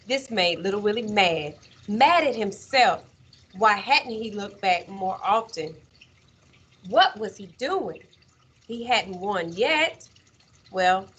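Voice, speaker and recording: Slow, husky-voiced woman storyteller. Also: medium pitch, a bit bright, rough recording. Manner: measured, dramatic pauses. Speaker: teacher reading aloud. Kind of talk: expressive storybook narration.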